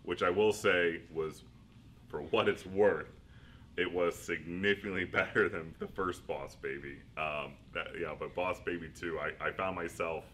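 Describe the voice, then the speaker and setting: A man's voice: low-pitched, fairly even intonation, a little slow, fairly bright tone, a little hiss or hum. A middle-aged man, a school district leader, answering interview questions in a rambling, self-deprecating way with frequent laughs.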